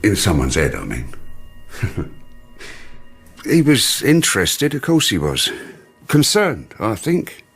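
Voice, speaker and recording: Gossipy British neighbour, low and animated. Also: male voice, slow, vocal fry, pauses often, faint music behind, exaggerated voice. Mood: confiding, gossipy. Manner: rambling, with trailing asides. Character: gossipy, morbid male neighbour, insinuating. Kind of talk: naturalistic radio drama acting.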